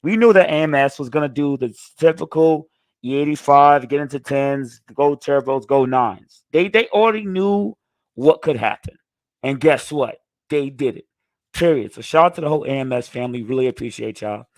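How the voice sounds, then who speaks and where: Hoarse laid-back man in his thirties. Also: mid-range voice, normal speed, no echo. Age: 30s. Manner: laid-back, conversational, frequent "you know what I'm saying". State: hoarse, recovering lost voice. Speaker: channel host answering live chat. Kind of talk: casual live stream Q&A.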